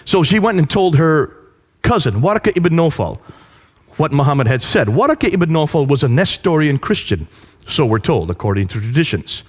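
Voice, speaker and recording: Man lecturing with lively storytelling. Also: a little high, normal speed. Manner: animated storytelling with rhetorical questions to the audience. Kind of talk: lecture to a live audience.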